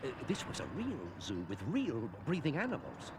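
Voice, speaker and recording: An actor playing a wise, patient old man in an action cartoon, explaining in a measured manner. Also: a little high, wildly swooping pitch, very noisy. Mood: patient, knowing. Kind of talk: animated cartoon acting. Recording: loud music behind.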